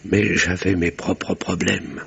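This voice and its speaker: A reserved, weary country doctor in a radio mystery. His voice is very low and slightly husky, his delivery measured and formal. The sound is dull, like a vintage broadcast. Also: flat delivery, a bit bright, full character voice. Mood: grave, measured. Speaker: narrator and lead actor. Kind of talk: French radio mystery drama acting and narration.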